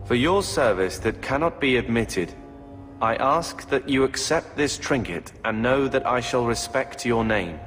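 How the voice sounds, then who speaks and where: A man's voice: medium pitch, lively intonation, a little slow, fairly bright tone. Guarded young man, reverberant. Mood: solemn reading. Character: guarded, prickly young brother in a fantasy family. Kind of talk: fantasy video game voice acting. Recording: long reverb, music behind. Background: music.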